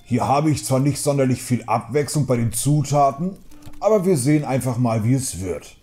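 A man's voice: medium pitch, expressive, normal speed, dark tone, soft background music, natural voice. A man voicing a jovial, kindly older panda brewmaster uncle, hearty and easygoing, in an audiobook drama. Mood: cheerful.

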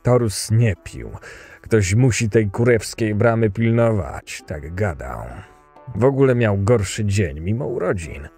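A cool, cynical camp boss acted by a man narrates his own fantasy audio drama. His voice is low and mellow, dry and detached, over faint music. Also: animated, a little slow, husky, heavily acted.

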